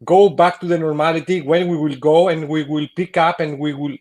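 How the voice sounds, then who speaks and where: Halting, fairly high-voiced man. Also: steady tone, a little mellow. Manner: halting, searching for words. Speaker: webinar moderator. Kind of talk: webinar discussion.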